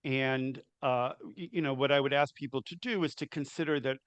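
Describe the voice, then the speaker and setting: A man's voice: mid-range voice, moderate pace, clear, resonant tone. A man speaks up on a video call to add a comment, with a plain mid-range voice. The sound is dry and close, with no room echo. He hesitates and trips over his starts before settling in. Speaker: co-presenter. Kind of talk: webinar comment.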